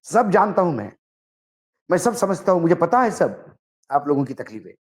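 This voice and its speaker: A man giving political commentary, speaking emphatically and deliberately in short phrases as he recounts dialogue. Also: fairly high pitch, very animated, darkish tone. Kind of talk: political commentary, narrating and translating a reported conversation.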